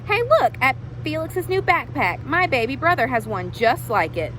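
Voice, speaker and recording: A woman's voice: sits high, very animated, moderate pace, a bit bright, slight background noise, low-quality recording. A woman voicing a taunting young schoolchild from a storybook. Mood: mocking.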